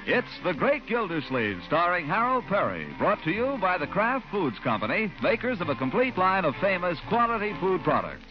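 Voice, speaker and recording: High-pitched man announcing old-time radio. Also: sing-song, moderate pace, hardly any pauses, dull audio, over loud music. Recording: band-limited old radio recording.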